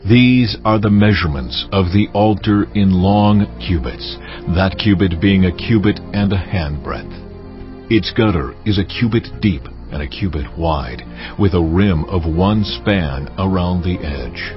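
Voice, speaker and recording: God, very deep, solemn voice. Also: male voice. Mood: solemn, commanding. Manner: solemn, deliberate, authoritative. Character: God speaking solemnly to his prophet, commanding and stern. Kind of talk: dramatized scripture reading. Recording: dull sound over music bed.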